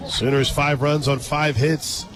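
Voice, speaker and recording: A man doing live radio softball play-by-play, dropping conversational asides between pitches. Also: level tone, unhurried, low-quality recording. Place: radio booth at an outdoor ballpark. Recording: outdoor stadium crowd noise, loud music. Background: loud crowd and ballpark music.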